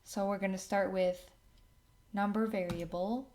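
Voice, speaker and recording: A woman hosting a casual software tutorial, thinking aloud as she works through each step. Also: mid-range voice, steady tone, measured pace. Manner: thinks aloud while working. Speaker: tutorial host. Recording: clear background noise, keyboard and mouse clicks.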